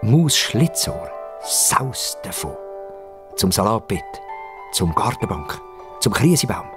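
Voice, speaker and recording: A man with a Swiss German accent narrating a children's story dramatically, with suspenseful pauses and repetitions. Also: lowish voice, over music. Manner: expressive, suspenseful, with repetitions for effect.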